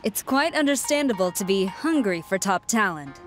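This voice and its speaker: A woman's voice: medium pitch, animated, normal speed, soft background music, natural voice. A woman playing a dignified, self-important tengu general in a video game, formal and assured. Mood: polite.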